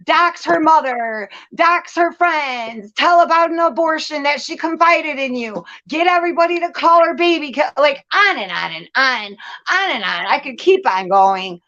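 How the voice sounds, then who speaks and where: High-pitched, blunt-talking woman. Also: expressive, normal speed, sharp tone, dull audio. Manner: blunt, profane, emphatic, repeats phrases for stress. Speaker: host of a live commentary show. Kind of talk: candid casual venting.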